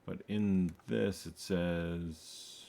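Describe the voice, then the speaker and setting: A low, gravelly male voice talks slowly, half to himself, as he works through game code. He stops, restarts and corrects himself mid-thought while he debugs. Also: steady tone, dark tone. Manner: muttering to himself, halting and self-correcting. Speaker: game programmer. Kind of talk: thinking aloud while coding.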